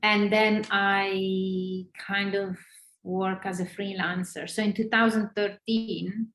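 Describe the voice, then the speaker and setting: Italian-accented woman, halting, echoey room. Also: mid-range voice, little pitch movement, a bit bright. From northern Italy. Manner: hesitant, many fillers and restarts. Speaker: interview guest, former ballerina. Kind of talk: casual interview conversation. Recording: roomy echo.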